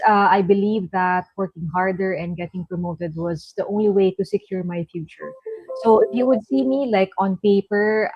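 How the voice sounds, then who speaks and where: A woman's voice: mid-range voice, lo-fi sound. A woman from the Philippines, a guest on a live online interview, who speaks in a friendly way and calls the host sis.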